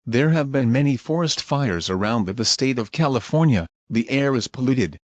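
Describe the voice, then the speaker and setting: A man acts as a grim emergency broadcast announcer in an amateur audio drama. He reads scripted alerts formally and with measured care, in a mid-pitched voice with a very dry, close sound. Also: lively intonation, no room sound, muffled recording, close to an everyday voice. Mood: grave. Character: grim emergency alert announcer, dutiful, irritable when crossed.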